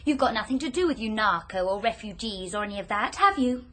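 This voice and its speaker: A woman's voice: mid-range voice, lively intonation, fast, sharp tone. An actress playing a self-assured, teasing young Englishwoman with a British accent in a radio drama, speaking very directly. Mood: teasing, accusing.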